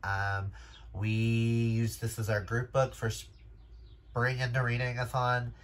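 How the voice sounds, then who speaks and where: Male book vlogger, mid-range pitch, unhurried pace, casual and gushing with emphatic exclamations. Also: monotone, a bit bright. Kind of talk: casual chat to camera.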